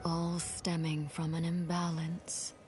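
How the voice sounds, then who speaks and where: A slow, low-voiced solemn goddess. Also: female voice, monotone, darkish tone, slight background noise. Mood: solemn, cryptic. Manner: measured, grave, oracular. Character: solemn mysterious divine woman guiding a young heroine. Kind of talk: scripted fantasy game cutscene acting.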